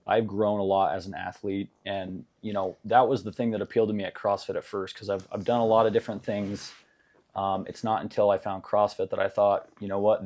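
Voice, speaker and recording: A man's voice: lowish voice, a little mellow. A young man answering interview questions in a casual, hesitant way, with many fillers and restarts. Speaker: gym member being interviewed.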